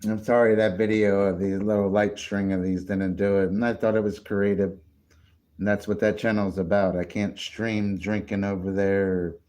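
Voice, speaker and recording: A man with a low, mellow voice talks slowly and loosely on a late-night livestream. He rambles from one thought to the next, drinking and smoking between lines, and sounds tired. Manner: rambling, slow and drawn-out, drinking and smoking on stream.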